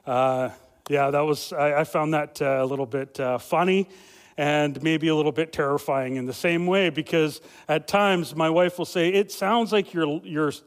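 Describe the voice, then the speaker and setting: Young adult male family pastor, loud, lively sermon with many filler-laden restarts, high voice, long church-hall reverb. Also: normal speed, clean tone. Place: church sanctuary.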